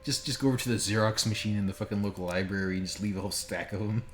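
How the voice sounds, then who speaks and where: A man's voice: on the low side, very fast. Guy streaming a game, joking and swearing, roomy echo, bit of background noise. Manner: joking, profane. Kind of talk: casual stream commentary.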